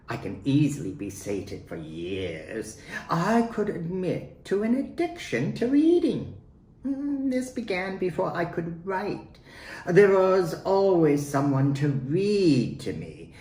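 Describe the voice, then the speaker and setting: A man reading his own poetry in a dramatic, deliberate way, pausing between phrases. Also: on the high side, a little mellow. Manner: theatrical, deliberate phrasing with pauses. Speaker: poet reading own work. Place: at home, roomy indoor space. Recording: roomy echo, faint background noise.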